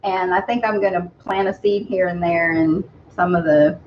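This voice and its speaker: A woman's voice: fairly low pitch, fairly even intonation, moderate pace, dull audio, a little hiss or hum. A woman with a mixed Southern US accent, not from the South herself, chatting easily as co-host of a home livestream and laughing often. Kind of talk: casual chat.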